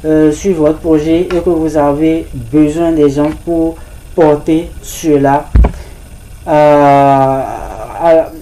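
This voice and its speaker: A woman's voice: low voice, little pitch movement, takes it slowly, mellow, slight background noise, low-quality recording. A woman giving an informal spoken presentation of a service, hesitant, with frequent restarts and filler words. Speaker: presenter of a crypto ambassador training service.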